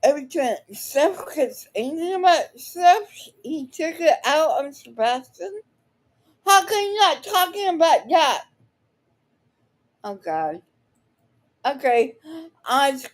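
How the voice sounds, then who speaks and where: A woman giving blunt, combative unscripted commentary in halting, broken sentences, often swearing. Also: high-pitched, big pitch swings. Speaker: online commentator reacting to another speaker. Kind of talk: unscripted live reaction commentary.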